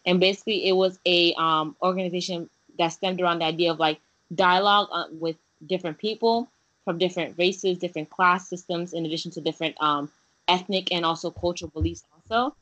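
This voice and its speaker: A young woman from Haiti speaks earnestly as an interview guest, pausing on fillers and restarting her sentences. Her voice sits a little low and sounds bright and clear. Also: fairly even intonation, highs cut off. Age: young adult. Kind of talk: casual interview.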